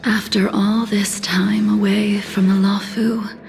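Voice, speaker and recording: An actress playing a serene, aloof ancient swordswoman in a video game, with a hushed, breathy, composed delivery. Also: mid-range voice, flat delivery, music in the background. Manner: unhurried, composed, wistful.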